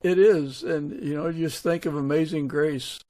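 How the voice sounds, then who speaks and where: A man's voice: fairly high pitch, fairly even intonation, normal speed, warm tone, no echo. A man hosting a casual interview podcast, putting questions to a guest and responding. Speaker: podcast host.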